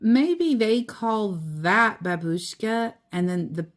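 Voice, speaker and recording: A woman's voice: on the high side, lively intonation, takes it slowly. A woman reading a children's picture book aloud, with simple explanations in casual asides to a young listener.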